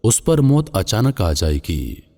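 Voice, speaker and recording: A man narrating a scripted religious moral tale as a storyteller, in a measured, solemn manner. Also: on the low side, big pitch swings, creaky, mellow. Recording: long hall-like reverb.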